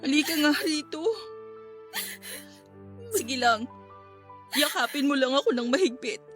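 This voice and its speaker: An actress with a Filipino accent playing a fiery, protective young cousin in an emotional, emphatic radio drama style. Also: female voice, high voice, a little slow, fairly bright tone. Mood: comforting. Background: background music.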